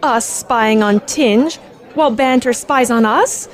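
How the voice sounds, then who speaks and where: A woman's voice: a little high, slow pace, some chatter behind. A woman playing an earnest, inquisitive teenage girl who narrates and talks in a formal, proper way in a radio play. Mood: puzzled, suspicious. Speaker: narrator and sidekick.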